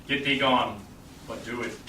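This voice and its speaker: A man's voice: mid-range voice, fairly even intonation, unhurried, bright, forceful tone. A man playing a commanding, courteous duke in a Shakespearean stage reading. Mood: stern. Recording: distant echoey small theatre, background noise.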